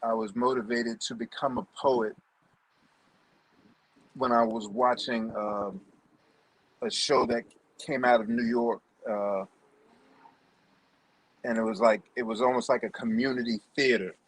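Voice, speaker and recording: A man from New Jersey, a poet guest telling his story deliberately and repeating phrases for emphasis. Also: mid-range voice, fairly even intonation, low-quality recording. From New Jersey, United States. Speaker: podcast guest, spoken word poet. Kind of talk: personal storytelling in an interview.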